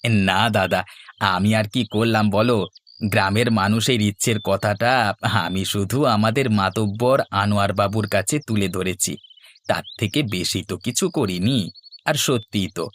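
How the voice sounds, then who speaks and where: A man voices a respectful, modest villager in a cartoon. His voice is low and his delivery earnest, over faint background noise. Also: a little slow, a bit bright. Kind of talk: Bengali cartoon dubbing.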